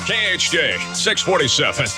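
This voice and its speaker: A man working as a morning radio DJ in a studio, reading time checks and weather and cracking quick jokes with chuckles. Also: a little high, big pitch swings, over music. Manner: joking, quick one-liners with chuckles. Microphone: studio broadcast mic.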